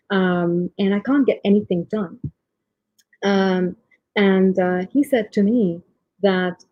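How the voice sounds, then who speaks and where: A woman with a soft voice giving a leadership talk at a conference, with many ums and restarts. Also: medium pitch, slightly rough, no echo. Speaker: conference speaker. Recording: phone-like muffled audio.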